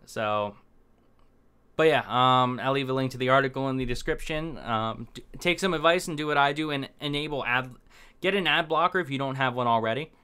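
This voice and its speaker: Young male vlogger, off-the-cuff rambler, smooth clear tone, medium pitch, desk mic in small room. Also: a little fast, sharp tone. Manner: rambling, talks on the fly with fillers and restarts. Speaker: political commentary vlogger. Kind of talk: unscripted casual commentary.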